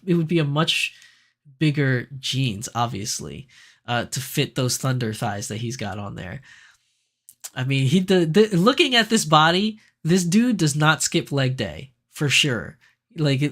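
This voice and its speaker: A man with a raspy voice, hoarse from being sick, hosting a casual livestream and answering viewer comments. His voice sits a little high, and he is animated, laughs easily and fills pauses with "um" and "uh". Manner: chatty, animated, laughs easily, frequent fillers. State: sick, raspier than usual. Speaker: livestream host.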